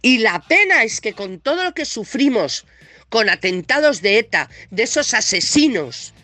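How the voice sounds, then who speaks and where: Bright-voiced, indignant Castilian woman with a cold. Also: on the high side, sing-song, normal speed, no room sound, soft background music. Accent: Castilian Spanish. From Spain. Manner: indignant, sarcastic, blunt, emphatic. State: has a heavy cold. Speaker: opinion segment presenter. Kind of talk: scathing political opinion monologue.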